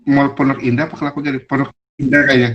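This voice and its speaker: A young man with a non-Jakarta regional Indonesian accent, telling stories as a podcast guest in a relaxed, rambling way. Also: medium pitch, fast, lo-fi sound. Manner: laid-back, rambling with fillers and laughs. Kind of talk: casual storytelling chat.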